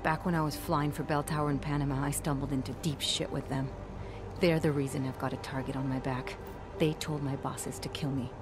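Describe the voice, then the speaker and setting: A woman's voice: very deep voice, little pitch movement, brisk. An actress playing a blunt, wary female pilot in a video game cutscene. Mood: bitter. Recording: game cutscene with music behind.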